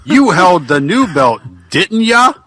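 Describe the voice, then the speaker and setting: A man's voice: sits high, big pitch swings, slow pace, fairly bright tone, no echo, exaggerated voice. A man voicing the ghost of a dim, folksy wrestler in a rambling, bumbling comic reading of fan fiction. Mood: eager, bumbling. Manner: rambling, bumbling, colloquial delivery.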